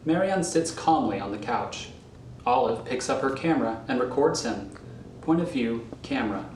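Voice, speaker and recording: A man's voice: medium pitch, expressive, normal speed, a little mellow. A man narrating a script, reading out scene headings and stage directions. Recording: echoey room, faint noise.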